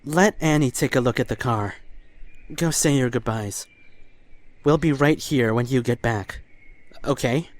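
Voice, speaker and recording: A woman playing a gentle, apologetic young male survivor in a zombie apocalypse audio drama, hesitant and solicitous. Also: rumbling low, unhurried, pauses often, no echo. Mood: caring. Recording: slightly noisy amateur recording with ambience.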